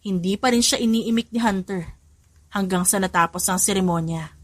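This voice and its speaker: A woman's voice: mid-range voice, moderate pace, dry recording. A woman giving a scripted, dramatic narration of a story as an audiobook narrator. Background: background music.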